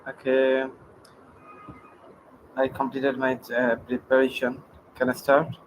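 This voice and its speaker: A man from Bangladesh calling into a live-stream debate, making a prepared case with many pauses and fillers. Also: medium pitch, completely flat delivery, a little hiss or hum, rough recording. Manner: hesitant, many fillers, reads prepared points. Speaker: caller. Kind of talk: prepared argument in a call-in debate. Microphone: computer mic on a video call.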